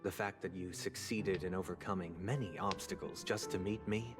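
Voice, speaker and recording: Posh-mannered scary polite game gentleman, lowish voice, measured and condescending, music under it. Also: fairly even intonation. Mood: coldly polite. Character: composed, menacing high-ranking gentleman, fussy and formal. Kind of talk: video game voice acting.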